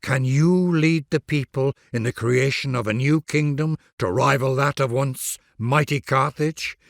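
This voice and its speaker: A man's voice: medium pitch, measured pace, creaky, dry recording, studio quality. A man reading grand scripted narration for a strategy game, addressing the listener in a formal, stately, dramatic way.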